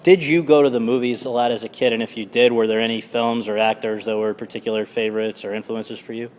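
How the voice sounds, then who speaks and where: A man conducts an onstage interview, framing his questions with asides and prefaces and backing the guest with quick "yeah"s. His voice trails off into a long reverb from the hall around him. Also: mid-range voice, steady tone, darkish tone, slight background noise. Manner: friendly, brief affirmations, hedged wordy questions.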